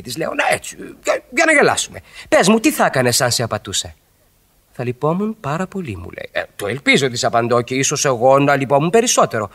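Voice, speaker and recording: Ironic married flirt, radio drama, mid-pitched, lively melodic range, teasing, theatrical, articulate. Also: male voice. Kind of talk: Greek radio theatre acting.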